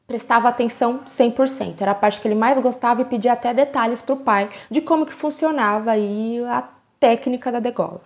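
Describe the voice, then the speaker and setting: A woman's voice: fairly high pitch, a little mellow. A Brazilian woman hosting a true crime channel, telling the story in a casual, conversational way with chatty asides. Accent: Brazilian Portuguese.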